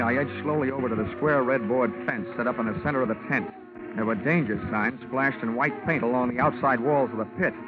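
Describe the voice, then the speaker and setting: A man's voice: medium pitch, lightly acted. A man playing a wisecracking hard-boiled insurance investigator who narrates his case in a dry, clipped manner in a 1950s radio drama. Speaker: narrator and lead detective. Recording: muffled old radio broadcast with music.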